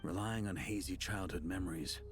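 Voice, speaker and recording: Man acting a low, composed investigator. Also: close to an everyday voice. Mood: matter-of-fact. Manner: measured, explanatory. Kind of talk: video game voice acting. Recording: music playing behind the voice.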